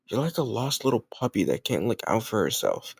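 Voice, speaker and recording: A man's voice: on the low side, sing-song, brisk, gravelly, breathy edge, no room sound, clean studio sound, full character voice. A man playing a cocky, popular teenage football captain bully with a secret tender side, teasing and hesitant in an intimate audio roleplay spoken to the listener. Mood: smug, teasing. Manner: teasing, smug, hesitant murmured asides.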